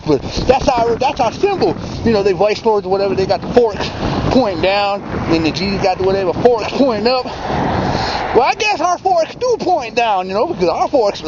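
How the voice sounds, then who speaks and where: A man in his thirties hosting his own vlog, rambling through a slangy comic monologue with mock-tough bragging. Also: sits high. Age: 30s. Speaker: vlog host. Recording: lo-fi with loud background noise.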